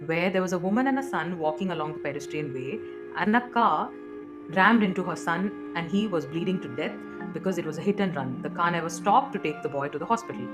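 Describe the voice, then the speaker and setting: A woman's voice: lowish voice, animated, a little fast. A woman narrating a ghost story directly to listeners. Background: guitar music.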